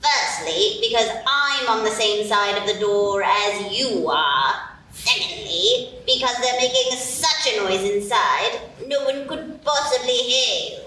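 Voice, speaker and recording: A woman's voice: fairly high pitch, expressive, pushed and bright, a little hiss or hum. A woman voicing a pedantic, dull-witted male frog footman who answers in riddles. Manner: slow, riddling, literal-minded. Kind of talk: live radio play acting. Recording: echoey hall, distant mic.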